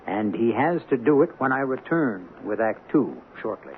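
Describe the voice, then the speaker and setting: A man hosting a radio drama with theatrical scripted narration, musing in deliberate rhetorical questions. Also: mid-range voice, expressive, very noisy. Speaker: host and narrator. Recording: narrow-band old radio broadcast. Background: music.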